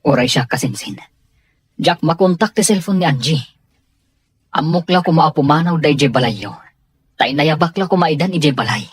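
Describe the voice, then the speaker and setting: A man with an Ilocano accent acting a troubled relative in a radio drama, his voice slightly husky. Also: on the high side, expressive, moderate pace, long pauses. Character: troubled cousin searching for loved ones.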